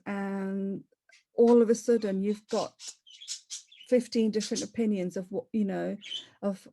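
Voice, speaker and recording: A woman's voice: mid-range voice, creaky, noisy background. A middle-aged woman with a Leicester English accent, chatting casually as an interview guest, rambling and restarting her sentences often. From born in Kenya, raised in Leicester, England. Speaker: interview guest, property investor.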